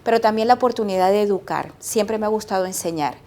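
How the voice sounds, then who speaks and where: A middle-aged woman from Colombia, an epidemiologist answering interview questions in a measured, explanatory way. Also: medium pitch, dark tone. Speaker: epidemiologist guest.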